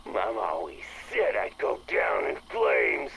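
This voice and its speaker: An actor playing a stubborn, devout biker, folksy and defiant, in a game audio drama. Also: male voice, very deep voice, huge pitch swings, slow pace, vocal fry, noisy background, full character voice. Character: stubborn, devout small-town biker bent on rescuing survivors. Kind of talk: game radio drama dialogue.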